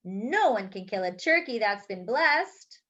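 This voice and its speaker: Woman putting on a brisk storybook grandmother. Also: mid-range voice, sing-song, a bit bright. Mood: firm. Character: brisk, caring grandmother running the household. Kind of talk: storybook character voice. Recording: dull lo-fi recording.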